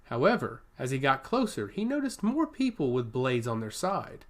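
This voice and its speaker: A man's voice: medium pitch, huge pitch swings, normal speed. A man reading a scripted fantasy story aloud as its narrator. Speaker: audiobook narrator.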